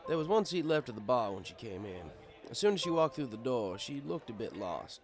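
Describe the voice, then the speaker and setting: A man's voice: mid-range voice, big pitch swings, a little fast. A man playing an easygoing, fatherly pony bartender who tells his story in a wry, conversational way. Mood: wry, settled. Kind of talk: first-person audiobook narration in character.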